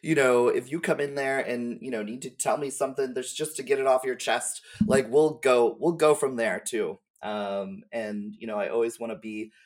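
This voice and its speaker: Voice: medium pitch, fairly even intonation, moderate pace, few pauses. A podcast guest and streamer chatting casually in a friendly, gushing, slangy way. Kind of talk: casual chat.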